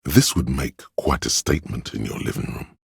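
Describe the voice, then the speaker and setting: Game narrator, a wry, arty inner voice: extremely low and heavily husky, droll, pompous, measured. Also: male voice, no echo, clean studio sound, heavily acted. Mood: arty enthusiasm. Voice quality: very deep, gravelly, husky. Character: male inner voice of conceptual, artistic thought, wry and pretentious.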